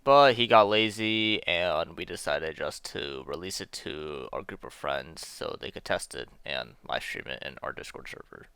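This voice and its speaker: A man's voice: extremely low pitch, expressive, normal speed, hardly any pauses, bright, forceful tone, clear, resonant tone, dry recording. A young man giving scripted video narration in a casual, self-deprecating way. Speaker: amateur game developer narrating a video.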